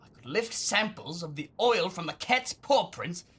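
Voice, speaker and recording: An actor playing an earnest, bookish, dramatic street boy with a husky voice in an audio drama. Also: mid-range voice, sing-song, measured pace, fairly bright tone, heavily acted. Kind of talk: scripted audio drama acting.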